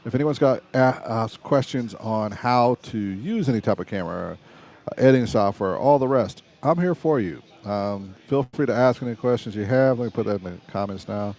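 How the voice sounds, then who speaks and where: A middle-aged man with a raspy voice, hosting a casual livestream about cameras in a loose, stop-start way. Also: mid-range voice, normal speed, a little mellow. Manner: conversational, stop-start, salesy about gear. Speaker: livestream host and videographer.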